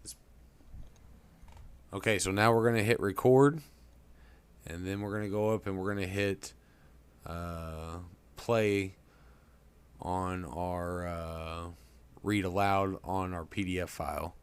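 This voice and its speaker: A man presenting a casual software tutorial in a folksy, informal way. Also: low voice, steady tone, takes it slowly, pauses often. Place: indoors at a computer. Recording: clear background noise.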